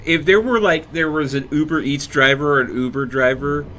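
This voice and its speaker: A man's voice: fairly high pitch, moderate pace, sharp tone. Rambling male game streamer, noisy background. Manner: rambling, thinking aloud while playing. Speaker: live streamer playing a video game. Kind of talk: casual livestream gameplay chat.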